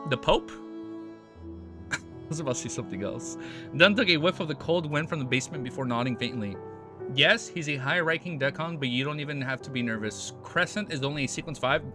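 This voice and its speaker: A hoarse man with a cold, reading a novel aloud on a livestream and breaking off into excited comments. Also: mid-range voice. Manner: reads steadily, then breaks off into excited asides. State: hoarse, losing his voice from a cold. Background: background music.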